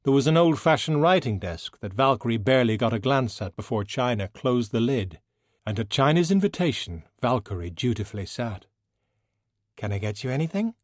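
A man reads a novel as narrator. His delivery is measured and descriptive, in a scripted storytelling style. The recording is dry studio sound, free of room echo. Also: mid-range voice, expressive. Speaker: audiobook narrator.

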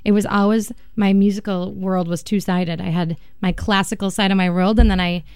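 A woman's voice: mid-range voice, steady tone, moderate pace, a little mellow, clear, resonant tone, no echo, clean studio sound. A woman from Minnesota, lead singer and pianist in a band, talking casually and laughing often in a radio interview. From Minnesota, USA. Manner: chatty, laughs often, restarts sentences.

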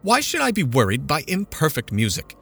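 A man's voice: big pitch swings, moderate pace, soft background music. A man voicing a petulant, fretful mother. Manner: complaining, indignant, raised pitch.

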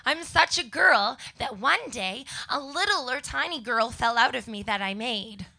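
A young Canadian woman with a scratchy voice doing a deadpan stand-up set before a live audience. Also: fairly high pitch, unhurried, vocal fry. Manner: deadpan one-liners to a crowd. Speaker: stand-up comedian guest.

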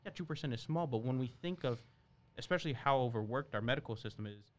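A man's voice: mid-range voice, lively intonation, fast talker. A young man from Brazil whose first language is Portuguese, a medical student talking earnestly and chattily as a guest. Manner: earnest, chatty with frequent laughs. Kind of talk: casual conversation.

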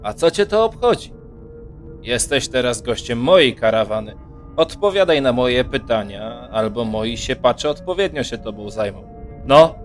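A man's voice: medium pitch, expressive, moderate pace, soft background music, close to an everyday voice. A man playing a haughty, shrewd margrave who commands a merchant caravan in a fantasy audio drama. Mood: haughty, demanding.

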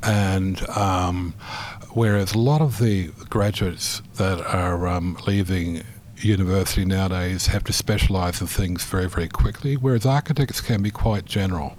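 Deep-voiced architect, halting and measured. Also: male voice, a little mellow, slight background noise. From New Zealand. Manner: measured, many ums and restarts. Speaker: architect guest. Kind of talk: interview answers.

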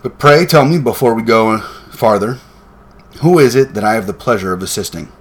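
A man voicing a shrewd gentleman detective in a storybook, bland, measured and confident. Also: lowish voice, animated, lightly acted. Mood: smoothly knowing.

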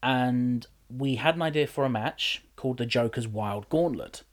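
A man's voice: mid-range voice, moderate pace. A man, a wrestler, telling stories in a casual podcast chat with lots of restarts. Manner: animated storyteller, many restarts. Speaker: wrestler and general manager.

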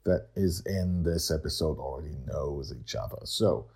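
A man's voice: very deep voice, normal speed, gravelly, a little mellow, husky. A man hosting a story podcast, narrating theatrically and emphatically in short, broken phrases.

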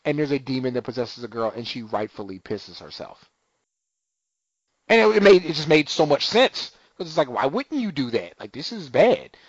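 Male co-host, casual recap, lively pitch, acts out lines; dry, muffled lo-fi sound. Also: moderate pace, no room sound. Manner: animated retelling, acting out characters' lines. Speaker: TV recap podcaster. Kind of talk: casual chat, recapping an episode.

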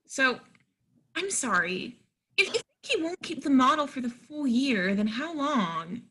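A woman's voice: sits high, big pitch swings, unhurried, a bit bright, slightly rough, heavily acted. A woman playing a friendly, naive, plain-spoken young model hopeful in a stage play reading, folksy and earnest. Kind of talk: readers theatre play reading.

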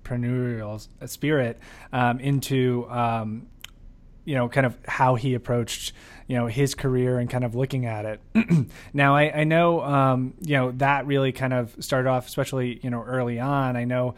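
A man's voice: medium pitch, steady tone, moderate pace. A man hosting a podcast, asking questions in a casual, rambling and hesitant way. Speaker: podcast host, interviewer.

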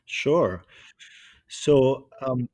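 Italian man guest, husky lowish voice, hesitant, dry studio. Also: expressive, drawn-out words, a little mellow, no echo. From Italy. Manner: hesitant, many fillers. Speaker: guest, sustainability director. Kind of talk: interview answers.

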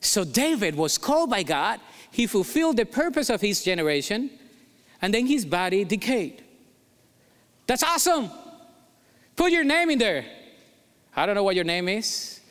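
A man's voice: high voice, sing-song, moderate pace, pauses often, fairly bright tone, long reverb tail. A pastor from Colombia preaching a sermon in English with a Colombian Spanish accent, emphatic and repeating his key phrases.

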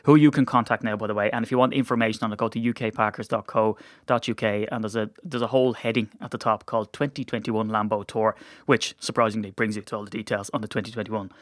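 A man's voice: on the low side, fairly even intonation, fast talker, dry recording, clean studio sound. A man hosting a sports podcast with casual, jokey, rambling banter. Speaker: podcast host. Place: small video studio.

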